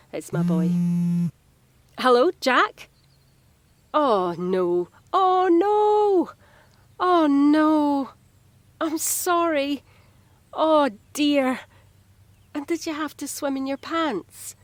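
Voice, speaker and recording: An actress playing a friendly, chatty, gently teasing Scottish home carer in an audio drama. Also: female voice, sits high, no room sound. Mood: apologetic, soothing.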